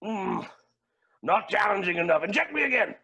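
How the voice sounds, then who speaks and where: Casting: male actor as cocky comic stage villain, bright high voice, wildly swinging delivery, melodramatic and dry. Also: expressive, normal speed, creaky, heavily acted. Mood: strained bravado. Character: cocky, theatrical billionaire villain, stoic through pain. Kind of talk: staged play reading.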